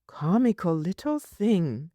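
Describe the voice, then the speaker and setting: A woman voicing a brisk, scolding but fond nursemaid in a storybook, with old-fashioned turns of phrase. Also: lowish voice, big pitch swings, mellow, no echo, voice pushed up. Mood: chiding, fond.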